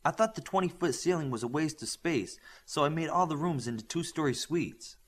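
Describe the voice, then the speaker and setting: A man's voice: on the high side, lively intonation, fairly quick, voice pushed up. A man voicing a confident, friendly teenage superhero girl who explains things proudly in an audiobook.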